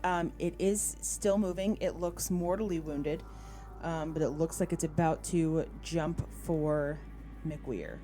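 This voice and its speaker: Woman gm w/ kinda low voice, talking thru dice rules casually, faint music behind. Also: fairly even intonation, slow pace, mellow. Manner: thinks aloud while checking rules and rolls. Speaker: game master. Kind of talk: running a tabletop roleplaying game, casual rules talk. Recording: voice over background music.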